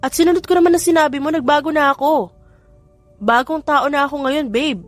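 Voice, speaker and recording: A woman's voice: high voice, a little fast, pitched above the performer's own voice, natural voice. Woman acting coaxing Filipino ex-boyfriend over music. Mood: pleading. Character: cocky, pleading young male ex-boyfriend. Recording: music bed behind voice.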